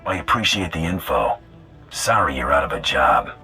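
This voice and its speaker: A man playing a tough bounty hunter in a video game, speaking in terse, deadpan, coolly threatening lines. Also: extremely low pitch, moderate pace, faint music behind, exaggerated voice. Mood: dry, businesslike.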